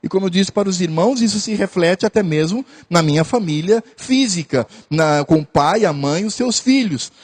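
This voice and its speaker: Pastor, male, high-pitched, earnest sermon delivery with repeated lines. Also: expressive, darkish tone. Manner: earnest preaching, repeats phrases, pauses with hesitations.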